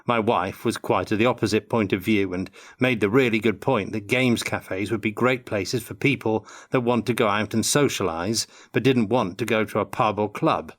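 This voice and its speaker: An older man giving measured, articulate answers as an interview guest in a prepared Q&A. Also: lowish voice. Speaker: interview guest, tabletop game designer.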